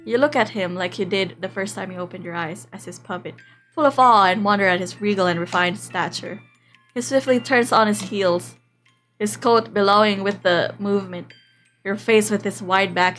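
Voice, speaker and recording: A woman's voice: mid-range voice, moderate pace, a bit bright. A woman streamer reading a visual novel aloud in a playful, dramatic way, breaking off for casual asides. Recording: music behind the voice. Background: game background music.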